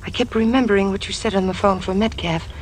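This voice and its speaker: A woman's voice: medium pitch, fast talker, lightly acted. An actress playing an earnest, anxious young woman devoted to her man, emotional in a 1950s radio drama. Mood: anxious, tender. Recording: old radio broadcast under car noise. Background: car driving noise.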